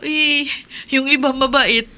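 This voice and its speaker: A woman's voice: high voice, steady tone, slow, pushed and bright, heavily acted. An actress in a radio drama playing an anxious young married woman who pleads with her husband. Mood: uneasy. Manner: pleading, uneasy.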